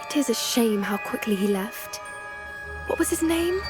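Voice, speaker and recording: A woman's voice: on the high side, expressive, moderate pace, lightly acted. A woman voicing an earnest, anxious teenage witch in a video game, polite and a little hesitant. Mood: curious, thoughtful. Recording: music behind the voice. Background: music.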